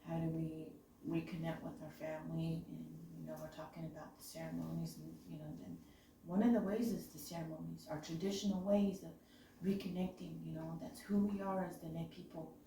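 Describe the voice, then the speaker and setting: A woman from the Navajo Nation with a raspy voice from illness, talking reflectively and haltingly with many "you know"s. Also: sits low, steady tone, mellow. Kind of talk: personal reflective talk. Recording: echoey room, distant mic, faint noise.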